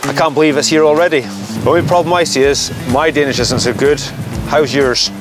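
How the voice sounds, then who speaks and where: A man's voice: a little high, a little fast. A man presenting a tour, upbeat, with some hesitant fillers. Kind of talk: casual presenting. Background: music.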